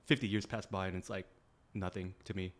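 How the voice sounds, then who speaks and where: Quick, low-voiced laid-back man. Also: steady tone. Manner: laid-back, heavy use of "like" and "you know what I mean". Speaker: podcast co-host. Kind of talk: casual chat.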